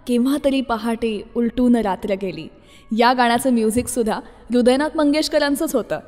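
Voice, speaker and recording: A woman's voice: sits high, darkish tone, in a large hall. A woman presenting a scripted radio show as its host.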